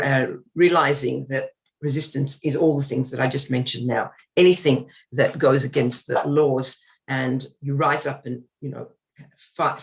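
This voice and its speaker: A man's voice: a little high, gravelly, rough recording. A man giving an earnest community lecture, partly read from notes, with frequent ums and uhs as he explains. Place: synagogue talk.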